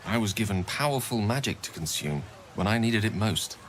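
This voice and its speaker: A man's voice: lowish voice, lively intonation, normal speed, fairly bright tone, slight background noise. A man voicing a courteous, bookish wizard in a fantasy game, musing in a wry, florid way. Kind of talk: video game dramatic acting.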